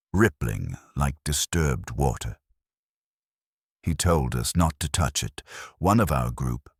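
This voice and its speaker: A man narrating a dark science fiction horror story in the first person, in a grave, measured storytelling manner. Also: very deep voice, husky, no echo, clean studio sound.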